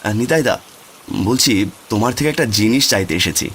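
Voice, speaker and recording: A man's voice: mid-range voice, expressive, fairly quick, fairly bright tone, slight background noise, natural voice. A man voicing a poor, doting village father in a cartoon, humble and coaxing. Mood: humble, pleading.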